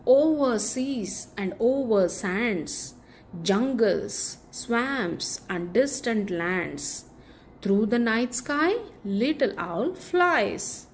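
A woman reads a bedtime picture book to children very slowly, stretching her phrases with care. Her pitch sits in a middle range and swings with lots of melody. A faint noise sits behind her voice. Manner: expressive, deliberate storytelling with drawn-out phrases. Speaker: children's story reader. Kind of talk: read-aloud bedtime picture book narration for kids.

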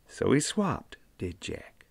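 An older man with an Appalachian accent, reading a children's storybook aloud in an unhurried, folksy way. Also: dry recording. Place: small book-lined room indoors.

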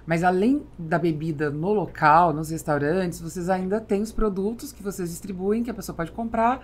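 A woman's voice: fairly low pitch, moderate pace. A woman in her forties with a Brazilian accent, hosting an interview conversationally into a studio microphone. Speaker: podcast host. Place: small acoustically treated podcast studio. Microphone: studio microphone on boom arm.

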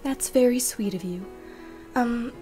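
A woman's voice: on the high side, unhurried, mellow, faint music behind, natural voice. A woman voicing a kindly, motherly pony nurse who speaks in a cooing, reassuring way in a fan radio play. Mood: soothing. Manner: cooing and reassuring, calls others dear.

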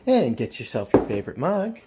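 A man in his thirties hosting a playful how-to cooking vlog at home with mock-serious, deadpan humour. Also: mid-range voice, brisk, heavy background noise. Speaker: cooking show host. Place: home kitchen and dining room.

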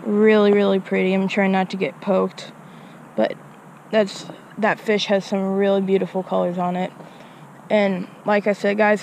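A woman's voice: medium pitch, darkish tone, a little hiss or hum, poor audio. Chatty woman vlogging by a creek. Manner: chatty, repeats words, addresses viewers as guys. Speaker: fishing vlogger. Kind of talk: casual outdoor vlog narration. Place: outdoors by a small creek. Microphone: action camera.

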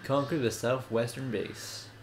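A stuffy-nosed man giving relaxed, chatty commentary while playing a video game. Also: mid-range voice, a little slow. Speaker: let's play host. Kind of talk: casual gameplay commentary.

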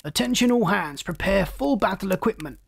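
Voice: mid-range voice, fairly even intonation, normal speed, lightly acted. A narrator voicing a stern, hot-tempered male spaceship captain, barking orders in a science fiction story. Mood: angry, commanding. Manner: commanding, thundering orders.

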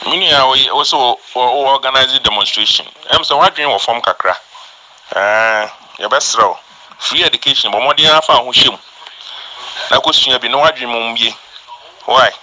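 A man's voice: medium pitch, bright, forceful tone, highs cut off. A Ghanaian man speaking about politics in a challenging, mocking way, mixing in English.

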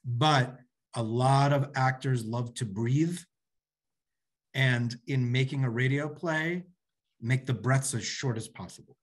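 Guy in his 40s, husky voice, explaining stuff on a video call, stops and restarts. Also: medium pitch, slow pace. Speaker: panelist, radio play director and producer. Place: small office, indoors. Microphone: computer mic on a video call.

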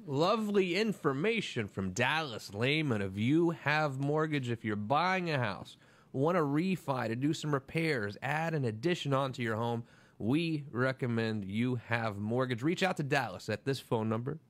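A man's voice: medium pitch, lively intonation, moderate pace. A man in his thirties hosting a radio talk show, upbeat and conversational, at a desk mic in a small studio. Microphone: desk microphone on boom, headphones.

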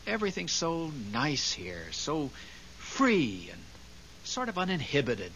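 A man's voice: a little high, huge pitch swings, a little slow, bright, forceful tone, exaggerated voice. An actor playing an earnest, emotional man in a 1970s radio drama. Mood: dreamy, earnest. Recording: hissy, noisy 1970s radio recording.

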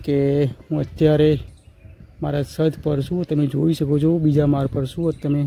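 A young Gujarati man narrates a casual village vlog, his voice sitting a little high for a man. He films on a handheld phone outdoors on a rooftop and keeps repeating the same phrases as he points things out. Faint noise and birds calling sit behind him. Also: warm tone. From Gujarat, India. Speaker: village vlogger. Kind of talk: casual walk-and-talk vlog. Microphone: handheld phone camera.

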